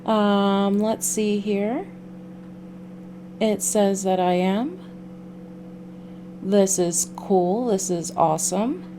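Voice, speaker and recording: A woman hosting a casual game livestream, talking in a halting way with drawn-out pauses. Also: medium pitch, background noise. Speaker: livestream host. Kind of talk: casual game stream, reading a visual novel aloud.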